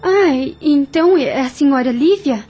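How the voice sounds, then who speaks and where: An actress playing a polite, meek young orphaned heiress in a radio drama, speaking formally and earnestly. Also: female voice, high voice, lively intonation, normal speed, warm tone, faint music behind. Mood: polite, timid.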